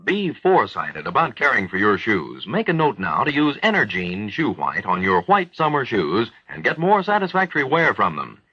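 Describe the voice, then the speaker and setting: A man reading a 1940s radio advertisement as an announcer, with salesman-like emphasis and a husky voice. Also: fairly low pitch, huge pitch swings, moderate pace, few pauses, pushed and bright, no room sound. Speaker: commercial and network announcer. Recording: dull, dry vintage radio recording.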